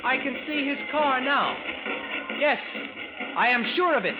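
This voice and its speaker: A man's voice: very high voice, animated, a little slow. A man acting in a 1940s radio drama as a formal visitor from the future, keenly watching and projecting his words. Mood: keen anticipation. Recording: muffled vintage radio with parade music.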